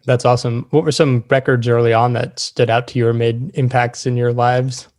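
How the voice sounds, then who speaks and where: Mid-pitched man, podcast interviewer. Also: level tone, a little fast, a little mellow. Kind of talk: casual interview.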